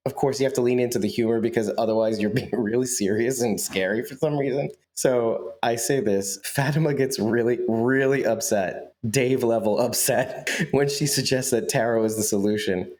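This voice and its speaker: A man's voice: medium pitch, normal speed, hardly any pauses, darkish tone. A man co-hosting a podcast in casual chat, joking and teasing his co-host, laughing often.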